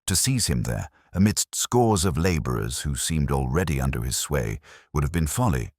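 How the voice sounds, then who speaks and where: Super deep husky guy narrating a grave mystery tale, voice swings up and down. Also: sing-song, moderate pace, no room sound, clean studio sound. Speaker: audiobook narrator. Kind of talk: scripted dramatic mystery narration.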